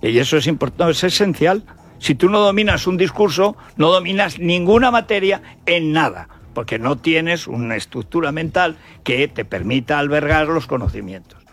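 A man's voice: on the high side, lively intonation, normal speed, a bit bright. Older indignant Spanish man over music. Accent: Spanish from Spain. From Spain. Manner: indignant, colloquial, rhetorical questions. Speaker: radio commentator and host. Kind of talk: opinionated radio monologue.

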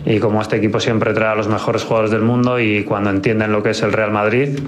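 Flat, low-voiced coach at press conference. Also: male voice, fast.

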